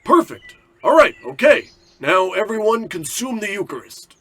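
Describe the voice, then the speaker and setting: An actor playing an earnest, pedantic police sergeant and priest, explaining things in an old-time radio comedy style. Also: male voice, high-pitched, sing-song, moderate pace, pushed and bright, slight background noise, full character voice. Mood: brisk.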